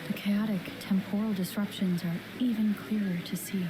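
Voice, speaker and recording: An actress playing an observant, calm young woman investigator in a video game, with a soft, breathy voice. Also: mid-range voice, moderate pace, noisy background, close to an everyday voice. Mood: curious, attentive. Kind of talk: video game story dialogue. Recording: music behind the voice.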